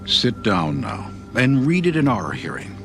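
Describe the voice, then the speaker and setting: A commanding royal court official in an audio Bible drama. His medium-pitched voice is formal and firm, set over clearly audible music. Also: wildly swooping pitch, unhurried.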